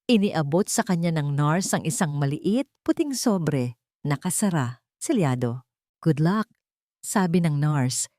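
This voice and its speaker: A Filipino woman narrating a dramatic story as an audiobook, in a measured storytelling manner. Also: fairly low pitch, dark tone, no room sound, studio quality.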